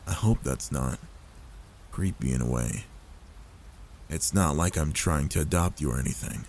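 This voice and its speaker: Low-pitched older werewolf played by a man, gruff but tender, protective, fatherly, soft ASMR roleplay, noticeable background noise. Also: animated, heavily acted. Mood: wistful, sorrowful, then tender, soothing. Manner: soothing, paternal, unhurried reassurance. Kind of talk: ASMR roleplay, soft intimate character acting.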